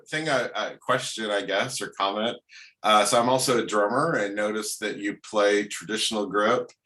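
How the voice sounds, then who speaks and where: A man hosting a radio interview, his low voice bright and forceful. He rambles casually with plenty of fillers. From Oregon, USA. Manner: rambling, heavy use of uh and um.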